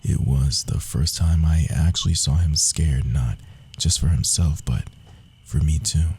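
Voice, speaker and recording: A man playing a proud, wounded young rogue assassin in an intimate ASMR roleplay, speaking in a hushed, confiding way with dramatic pauses. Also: rumbling low, heavily acted. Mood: pained, remembering. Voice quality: husky, breathy, often dropping to a whisper.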